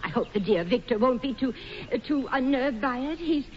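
A woman's voice: high-pitched, expressive, brisk. An actress in a 1940s radio comedy playing a kindly, sensible middle-aged mother with a knowing manner. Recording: muffled, noisy old radio broadcast.

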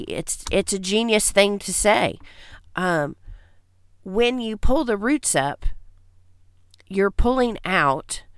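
A woman's voice: mid-range voice, clean tone, no echo, studio quality. A woman hosting a casual homesteading podcast, congested from allergies, chatting in a folksy, friendly way. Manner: folksy, chatty, says y'all. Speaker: podcast host.

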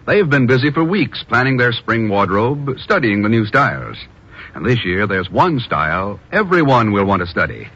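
A man's voice: mid-range voice, very animated, normal speed, fairly bright tone, husky. A man working as a 1950s radio announcer, reading scripted copy and adverts in a polished, formal salesman's manner. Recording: dull old radio broadcast, music behind.

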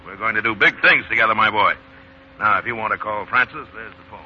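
On a muffled vintage radio recording, an older political candidate with a low, husky voice. He is genial and persuasive, hearty and sure of himself as he cajoles. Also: fast talker. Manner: hearty, cajoling, self-assured. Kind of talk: 1940s radio acting. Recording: muffled 1940s radio broadcast.

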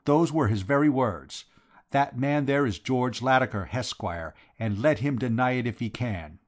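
A man's voice: medium pitch, normal speed, pitched above the performer's own voice, natural voice. A man voicing a brash, gloating working-class London man who drops his aitches in a storybook reading. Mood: gloating, accusing.

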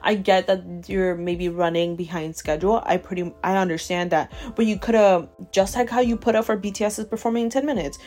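A woman's voice: medium pitch, brisk, hardly any pauses. A woman in her twenties, from Ecuador with Spanish as her first language, hosting a casual podcast and ranting emphatically, often tagging on "okay?". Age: 20s. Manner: emphatic and animated, keeps asking "okay?". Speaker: podcast host. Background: faint chill background music.

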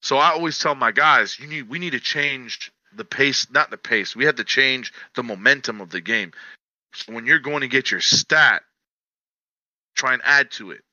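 A man's voice: mid-range voice, normal speed, pushed and bright, dry recording, dull audio. A man who coaches football, talking emphatically on a sports podcast. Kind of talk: casual sports podcast talk.

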